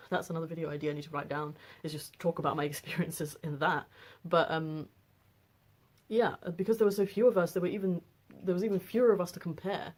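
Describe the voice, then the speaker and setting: A woman in her twenties with a deep voice, talking casually on a personal vlog with lots of fillers and restarts. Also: darkish tone. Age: 20s. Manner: rambling, frequent fillers and restarts. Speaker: vlogger.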